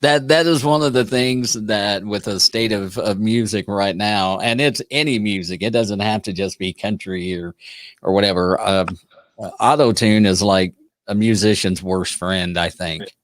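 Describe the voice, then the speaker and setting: A man's voice: on the low side, measured pace, no room sound. A man hosting an interview show, chatting casually with lots of fillers and restarts. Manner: rambling, many fillers and restarts. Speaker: show host.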